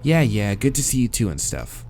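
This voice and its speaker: A man's voice: fairly low pitch, big pitch swings, moderate pace, a little mellow, faint music behind. A man voicing a blunt, impatient human man, the casual-spoken protagonist of a visual novel. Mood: offhand.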